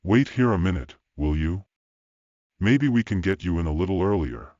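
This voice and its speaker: A man playing a powerful, cool and gentle bodyguard in his forties in an audiobook drama, speaking tersely and calmly. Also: low voice, moderate pace, dry recording, dull audio. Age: 40s. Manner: terse, calm, understated. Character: powerfully built forty-year-old bodyguard, calm, cool, gentle.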